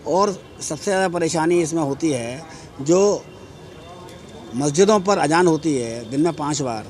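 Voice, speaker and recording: Man talking slow and formal, bit high, pauses a lot. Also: a little mellow. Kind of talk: interview sound bite.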